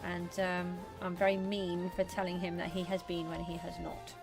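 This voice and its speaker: A woman's voice: fairly low pitch, completely flat delivery, normal speed, vocal fry, music in the background. A British woman streaming a building game live, chatting casually and thinking aloud with frequent ums. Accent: British English. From England. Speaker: gaming livestreamer. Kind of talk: casual live-stream chat while playing.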